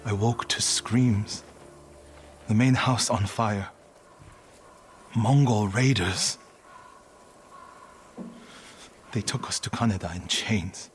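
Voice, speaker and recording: An actor playing a solemn, earnest warrior monk of feudal Japan, grieving, in a dramatic video game cutscene. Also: male voice, lowish voice, takes it slowly, pauses often. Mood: haunted, sorrowful. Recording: background music under the dialogue.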